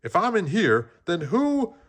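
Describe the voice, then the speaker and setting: A man voicing an excitable, panicky male jazz musician in a storybook, exclamatory and urgent. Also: sits high, measured pace, voice pushed up, heavily acted. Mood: panicked.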